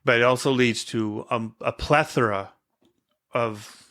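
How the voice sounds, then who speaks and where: A man's voice: mid-range voice, measured pace, fairly bright tone. A man, a podcast guest, talking earnestly in a casual conversation, as if giving a short speech, with an occasional stutter. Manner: stutters at times.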